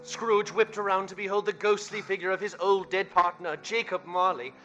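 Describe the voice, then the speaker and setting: A man's voice: high-pitched, fairly even intonation, moderate pace, pushed and bright, soft background music. A man narrating a scripted radio play in a 1930s style, telling the story dramatically.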